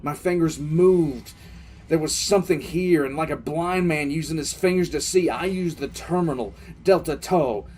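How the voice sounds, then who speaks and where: A man playing a war-weary military commissar, recounting a crisis in halting, emphatic audio drama narration. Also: a little high, a little mellow, close to an everyday voice. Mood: building urgency. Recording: music under the voice.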